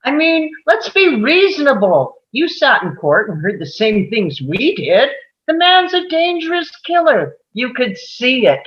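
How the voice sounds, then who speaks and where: A woman's voice: high-pitched, sing-song, unhurried. Juror role, female actor: insistent, certain, swinging intonation, slightly lo-fi sound. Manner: insistent, emphatic. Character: forceful, opinionated juror sure of guilt. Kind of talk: dramatic table read of a stage drama.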